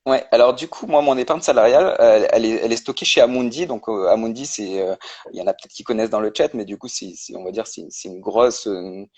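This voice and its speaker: A man speaks as a guest in a casual live-stream interview over a video call. His voice sits on the low side. He explains things step by step, pausing often on fillers as he works through each point. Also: darkish tone. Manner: explains step by step with many hesitations. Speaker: guest, personal finance creator.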